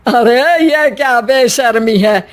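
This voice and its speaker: A woman acts out a traditional cartoon mother-in-law with a high voice. She is easily scandalized and scolds with nagging, indignant exclamations. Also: slow pace. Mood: indignant scolding.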